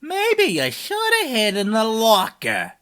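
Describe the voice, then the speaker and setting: A woman's voice: on the high side, huge pitch swings, takes it slowly, fairly bright tone, heavily acted. A woman voicing a sassy, smug, mocking cat villain for a video game, drawling and sarcastic. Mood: smug, taunting. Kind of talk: video game character voice lines.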